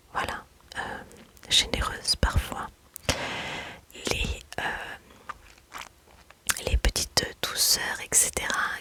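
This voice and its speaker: Slow whispering woman, breathy and close. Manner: soft, hesitant, many fillers. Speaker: mother; video creator. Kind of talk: whispered ASMR chat.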